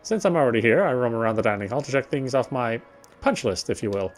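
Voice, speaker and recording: A man reading visual novel narration aloud as he plays, often halting and correcting himself. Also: mid-range voice, lively intonation, faint music behind. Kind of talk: read-aloud game narration.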